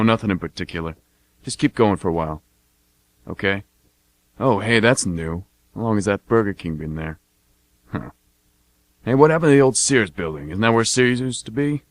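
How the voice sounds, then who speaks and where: A man's voice: deep voice, no room sound. A man playing a laconic, slangy army veteran chatting as a cab passenger in an amateur radio drama. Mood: casual, curious. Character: gruff male army veteran cab passenger, guardedly chummy.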